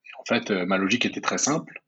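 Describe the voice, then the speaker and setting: A man's voice: fairly low pitch, lively intonation, fast talker, pushed and bright, husky, muffled recording. A man in his thirties from the Paris region, a guest telling his life story in a casual interview, with many fillers and restarts. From France, Paris region. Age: 30s. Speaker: guest entrepreneur.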